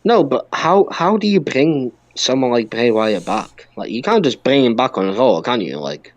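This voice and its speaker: A man's voice: mid-range voice, sing-song, moderate pace, dry recording. A man hosting a wrestling podcast, chatting casually and arguing with exclamations.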